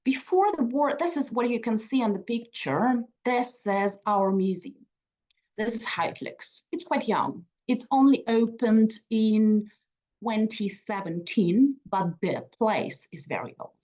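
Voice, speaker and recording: A Ukrainian woman in her forties, a native Russian speaker, giving a conference talk in a reflective way, with restarts and soft laughs. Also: a little high, lively intonation, measured pace, low-quality recording. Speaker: museum speaker.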